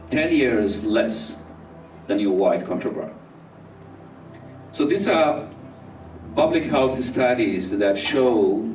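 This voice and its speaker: A man from Somalia speaks at a public talk, slow and halting, with many fillers and restarts. His voice sits fairly low. It comes from some way off the mic in a roomy space, with faint music behind. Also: distant mic.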